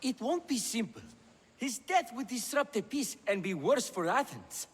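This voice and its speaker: An actor playing a proud, theatrical playwright in a video game, with a self-important delivery. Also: male voice, extremely high pitch, sing-song, moderate pace, breathy edge, full character voice. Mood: earnest. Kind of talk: video game cutscene acting. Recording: long hall reverb.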